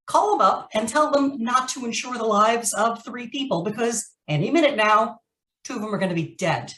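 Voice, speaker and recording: A woman's voice: fairly high pitch, animated, moderate pace, a bit bright. Woman acting a menacing ex-con, echoey and distant. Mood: menacing, bitter. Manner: tough, sardonic, threatening. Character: menacing, vengeful female ex-convict out to settle scores. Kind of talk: old-time radio noir acting. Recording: roomy echo, distant from the microphone.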